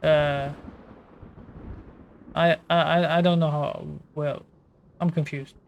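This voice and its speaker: A woman with a fairly low voice comments casually on a game. She speaks slowly and hesitantly, thinking aloud as she goes. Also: little pitch movement, a little mellow, lo-fi sound, a little hiss or hum. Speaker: gamer reacting to a game story.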